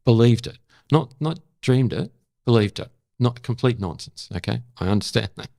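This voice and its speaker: A seventy-year-old Australian man talking reflectively as an interview guest, thoughtful and halting, repeating words for emphasis. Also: mid-range voice, lively intonation, gravelly, mellow, no echo, clean studio sound. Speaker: interview guest, former journalist and actor.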